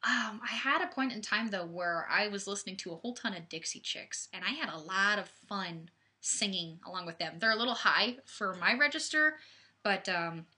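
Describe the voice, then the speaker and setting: Bright-voiced young woman chatting on webcam. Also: mid-range voice, expressive, normal speed. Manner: chatty and rambling, with many fillers and restarts. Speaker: livestream host. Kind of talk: casual webcam chat with viewers. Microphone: webcam microphone.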